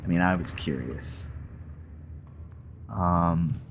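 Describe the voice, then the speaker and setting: Male gamer, low and unhurried delivery, casual play-by-play with folksy exclamations, prominent music behind him. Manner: mild folksy exclamations, muttering to himself while playing. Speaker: video game streamer. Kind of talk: casual gameplay commentary with roleplay.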